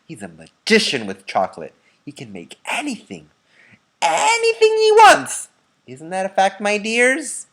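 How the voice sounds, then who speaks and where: A man's voice: high-pitched, huge pitch swings, measured pace, gravelly, a bit bright, pitched above the performer's own voice, exaggerated voice. A man voicing a very old grandfather in a children's storybook, eager and boastful, speaking in emphatic exclamations. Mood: excited, amazed. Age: nineties. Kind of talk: children's storybook read-aloud.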